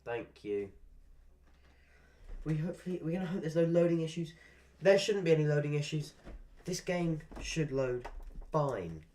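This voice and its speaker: A man's voice: a little high, lively intonation, moderate pace, warm tone. A man chatting casually while live-streaming a game, rambling through asides and swearing at the game now and then. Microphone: desk microphone.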